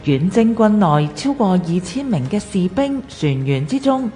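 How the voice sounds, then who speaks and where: A woman's voice: mid-range voice, big pitch swings, a little slow, warm tone. A woman with a Hong Kong Cantonese accent reading scripted historical documentary narration for radio. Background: music.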